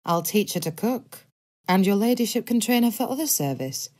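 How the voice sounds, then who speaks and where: A woman's voice: mid-range voice, very animated, moderate pace, darkish tone. A woman voicing a blunt, stern but protective household cook in an audiobook. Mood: firm. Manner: blunt and firm.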